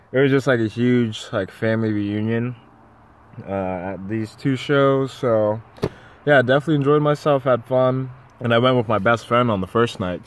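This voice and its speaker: A man's voice: mid-range voice, a little hiss or hum. A young man vlogging from his parked van, talking casually and rambling, with easy laughs. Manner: relaxed, rambling, laughs between words. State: tired and tipsy late at night. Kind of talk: casual vlog to camera. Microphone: phone camera at arm's length.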